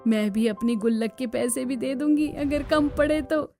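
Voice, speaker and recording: A woman's voice: sits high, normal speed, warm tone, faint music behind. A woman voicing a spirited, outspoken young village daughter-in-law in a cartoon. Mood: hopeful. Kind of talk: Hindi cartoon dialogue acting.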